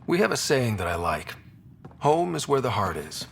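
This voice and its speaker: An actor playing a young, easygoing human explorer in a video game, casual and lightly teasing. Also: male voice, medium pitch, big pitch swings, normal speed, creaky, slight background noise. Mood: curious, friendly. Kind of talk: naturalistic video game dialogue acting.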